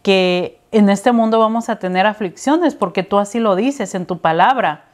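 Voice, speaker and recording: A woman's voice: mid-range voice, moderate pace. Woman leading an earnest prayer. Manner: earnest, petitioning. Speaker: prayer leader. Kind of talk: spoken prayer.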